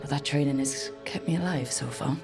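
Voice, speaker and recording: Video game adventurer, female, deep register, creaky edge, wry, reflective, unhurried. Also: music in the background. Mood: resolved. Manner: unhurried, musing to herself. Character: weathered young woman adventurer, resolute, wry, reflective. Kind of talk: video game voice acting, reflective solo musing.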